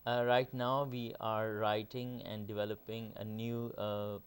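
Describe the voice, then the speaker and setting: A middle-aged man from Pakistan, answering interview questions as a film director in a measured way with many pauses. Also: on the low side, level tone, clean tone.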